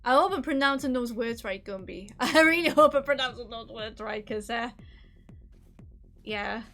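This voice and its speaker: Geordie woman, streamer, loose rambling chat, fairly high voice, faint game music behind. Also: normal speed, fairly bright tone. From North East England. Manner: rambling, many "like" fillers, self-deprecating. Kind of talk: casual chat while gaming, reading chat.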